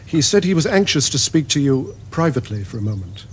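An actor playing a deferential older butler in a period comedy, formal and slightly hesitant. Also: male voice, mid-range voice, very animated, brisk, husky. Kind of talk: period comedy screen acting.

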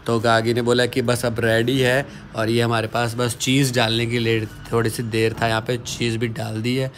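A man's voice: medium pitch, level tone, moderate pace, slight background noise. A man chatting playfully while filming a home cooking vlog with his phone, teasing as he goes. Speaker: vlogger. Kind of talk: casual cooking vlog chat.

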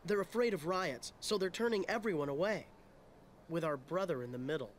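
A man's voice: a little high, fairly quick, slight background noise, lightly acted. An actor playing a stern young male temple guard in a video game. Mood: warning.

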